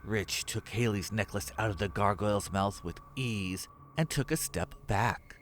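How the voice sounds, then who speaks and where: A man's voice: fairly low pitch, unhurried, slight background noise. A man narrating a scripted horror story as its storyteller.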